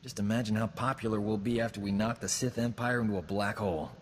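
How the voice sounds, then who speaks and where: A man's voice: on the low side, quick pace, lightly acted. Guy voicing a cocky wisecracking medic, game dialogue, long echo, faint hiss. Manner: smooth-talking, self-flattering, joking. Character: cocky boastful man, a frontier combat medic. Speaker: companion character in a video game. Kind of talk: video game character dialogue.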